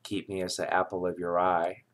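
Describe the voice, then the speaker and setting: A man reading scripture aloud in a solemn, deliberate manner, with measured phrases and pauses. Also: low-pitched, level tone, fairly bright tone. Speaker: scripture reader.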